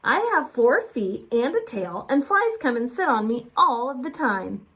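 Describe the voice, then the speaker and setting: A woman voicing an eager, silly, literal-minded male moose from a children's storybook, excitable and quick to blurt things out. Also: a little high, pitched above the performer's own voice, exaggerated voice.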